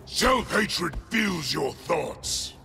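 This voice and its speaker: A man playing a rage-filled, bloodthirsty alien warlord in a video game, gravelly, grandiose and menacing. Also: on the high side, sing-song, extremely slow, loud music behind the voice, exaggerated voice. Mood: seething rage. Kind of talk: video game fight banter.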